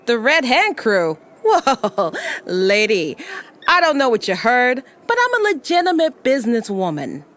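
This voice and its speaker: Brash hacker, lively swooping delivery. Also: female voice, on the high side, a little slow, full character voice. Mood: flippant. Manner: flippant, slangy. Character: brash, impatient female hacker thief.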